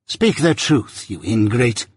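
A man voicing a bitter, possessive old adoptive mother in a novel reading, with impassioned, drawn-out, wailing cries. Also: medium pitch, expressive, a bit bright, husky, dry recording, full character voice. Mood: furious, accusing.

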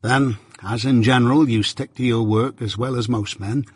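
A man voicing a mild but firm master blacksmith in a storybook reading, with simple, steady rustic speech. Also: medium pitch, normal speed, husky, no room sound, clean studio sound, close to an everyday voice. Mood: fair-minded.

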